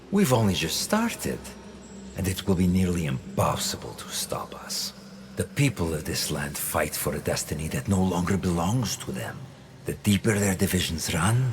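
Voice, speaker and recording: Video game villain: suave plotting mastermind, low register, widely swooping delivery, silky and deliberate. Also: male voice, very animated, noisy background, full character voice. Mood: quietly menacing. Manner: theatrical, deliberate, silky persuasion. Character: suave, scheming older male conspirator mastermind, patient and menacing. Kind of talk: video game cutscene acting.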